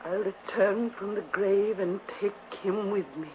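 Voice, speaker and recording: An actress playing a dying, delirious twin sister, intense and pleading in 1950s radio drama style. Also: female voice, mid-range voice, steady tone, measured pace, natural voice. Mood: desperate. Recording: muffled, hissy 1950s radio recording.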